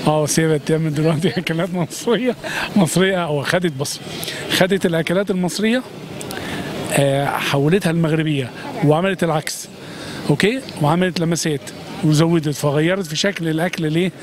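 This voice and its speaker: A man's voice: a little high, fast talker. A middle-aged Egyptian man with an Egyptian Arabic accent, talking emphatically and effusively in a street interview at night. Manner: effusive, emphatic, swears by God often. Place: city street at night, outdoors. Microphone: handheld reporter mic. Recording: outdoor street noise.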